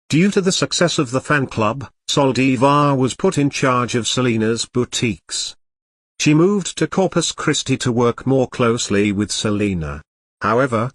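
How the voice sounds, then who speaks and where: A man's voice: mid-range voice, a bit bright, no echo. Man narrating a documentary, dry sound.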